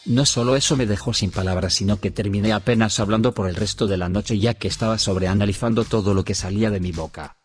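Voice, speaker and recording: A man reading internet stories aloud in a steady, even scripted narration. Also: on the low side. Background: soft background music.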